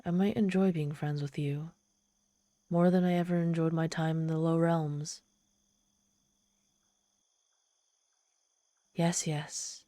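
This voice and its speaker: A woman's voice: deep voice, mellow, no echo, clean studio sound, lightly acted. A woman playing an ancient, proud but lonely demon woman in an ASMR roleplay, with a haughty, theatrical manner that hides vulnerability. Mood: earnest, eager. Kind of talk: ASMR audio roleplay, addressing the listener.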